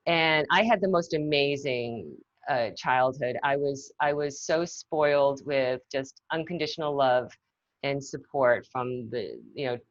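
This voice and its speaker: A woman's voice: rumbling low, measured pace, no echo, lo-fi sound. A woman from Massachusetts, a marketing executive giving an interview, speaking thoughtfully with many "you know"s and restarts. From Massachusetts, north of Boston. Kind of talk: casual conversational interview.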